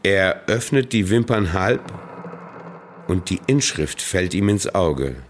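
An actor in a German radio play playing a humble, downcast village outcast, narrating in subdued, halting phrases. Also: male voice, sits low, animated, a little hiss or hum. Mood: hushed narrating.

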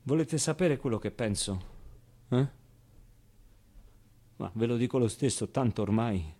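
An actor playing a naive, foul-mouthed, wistful orphan boy in an expressive theatrical monologue, with hesitant repetitions and pauses. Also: mid-range voice, breathy edge. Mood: frank, bitter. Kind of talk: theatrical monologue, storytelling to an audience.

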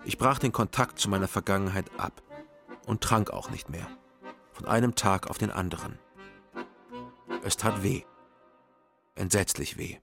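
An actor playing a world-weary private detective who narrates a radio crime drama in a dry, measured, matter-of-fact way. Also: male voice, low-pitched, gravelly. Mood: dry, reflective. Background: music.

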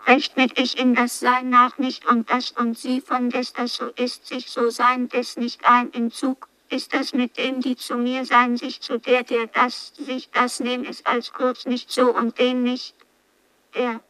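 An actress playing a barely conscious young girl in an experimental radio play, speaking in halting, disjointed fragments. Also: fairly high pitch, monotone, a bit bright, no room sound, rough recording. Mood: dazed, fragmented.

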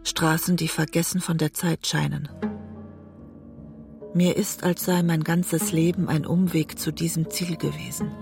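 An actor in a literary radio drama playing a weary, wounded writer, reflective and restrained in narration and dialogue. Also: male voice, on the high side, level tone, measured pace, gravelly, a little mellow, soft background music. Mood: reflective, melancholy.

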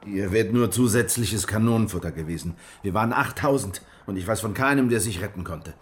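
Actor as a low-voiced, brooding war-weary rebel. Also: male voice, fairly quick, lightly acted. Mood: bitter. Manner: brooding, bitter, dramatic. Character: weary, distrustful male veteran of a crushed peasant revolt. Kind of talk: German radio drama dialogue and first-person narration. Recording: music behind the voice.

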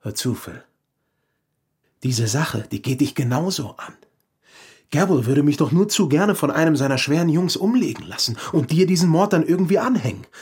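Nervous polite man in a radio crime play, husky breathy voice, hesitant and earnest. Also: a little high, a little mellow. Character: David Sullivan, uneasy, well-mannered man confessing an affair. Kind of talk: German crime radio drama acting.

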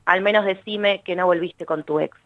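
A woman's voice: on the low side, fairly even intonation, normal speed, dry recording. Argentine woman poet, dull lo-fi sound. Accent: Rioplatense Argentine. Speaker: guest poet. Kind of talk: poetry reading and casual chat.

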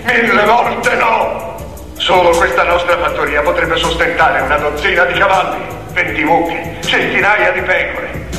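A man's voice: fairly high pitch, pushed and bright, distant mic. Man acting an imposing old boar orator, echoing hall. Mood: impassioned, defiant. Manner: oratorical, emphatic, rhetorical questions. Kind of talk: theatrical political oration. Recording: echoey hall from afar, music behind. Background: music.

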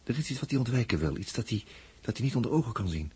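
An actor playing a hushed observer in a 1960s radio drama, ominously reporting on a restless sleeper. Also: male voice, medium pitch, expressive, fast talker, slightly rough. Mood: hushed, watchful. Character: hushed male observer narrating a sleeper's unrest. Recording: faint hiss, old radio recording.

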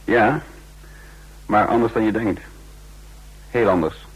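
An actor playing a composed intelligence agent who recounts and plays out his mission in a radio drama, speaking in a measured, formal way. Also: lowish voice, husky, heavily acted. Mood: urgent, instructing. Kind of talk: radio drama narration and dialogue. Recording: noisy old radio drama recording.

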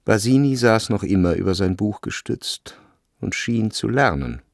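A man narrating a literary radio drama in a measured, scripted delivery. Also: on the low side, lively intonation, gravelly, no echo, clean studio sound.

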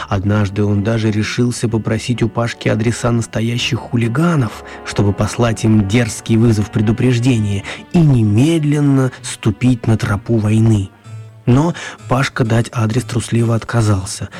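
A man narrating a children's radio story in a measured, expressive way with gentle humour. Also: mid-range voice.

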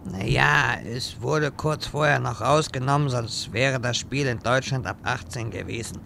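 Man as exasperated wizard, bright, creaky voice. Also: mid-range voice, a little slow, a little hiss or hum. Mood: matter-of-fact. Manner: irritable, sardonic, lecturing. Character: exasperated, sarcastic male wizard guiding bumbling adventurers. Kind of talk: German fan audio drama parody.

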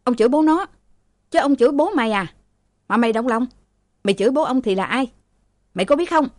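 A woman reading aloud, voicing a rude, defiant young man who hurls insults fast and shrill. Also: lively intonation, darkish tone, no room sound. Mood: insulting.

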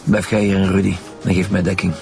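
An actor playing a steady, authoritative police chief inspector in a radio drama, speaking naturally with a Flemish accent. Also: male voice, low-pitched, brisk, vocal fry. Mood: matter-of-fact. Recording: music behind the dialogue.

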